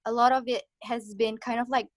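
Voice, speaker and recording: A woman's voice: fairly high pitch, flat delivery, fairly quick, no room sound, studio quality. A woman in her thirties from Singapore, chatting casually on a video call, laughing often and full of fillers. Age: 30s. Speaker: guest newsletter writer.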